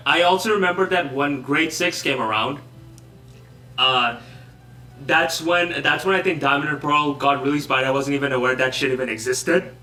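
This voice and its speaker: Animated young man, bright roomy sound. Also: fairly high pitch. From Bangladesh. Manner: joking, animated, self-deprecating. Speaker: interview guest, YouTuber. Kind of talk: casual online interview. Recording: roomy echo, distant from mic.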